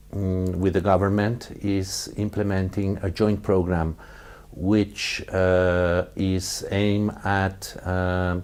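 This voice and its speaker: A man as a UN representative in a documentary interview, halting and full of filler sounds. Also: sits low, a little mellow.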